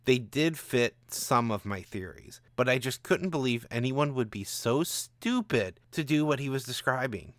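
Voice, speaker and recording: A man's voice: medium pitch, expressive, measured pace, few pauses, a bit bright, no echo, clean studio sound. A man working as author and narrator, reading stories and commenting on his writing.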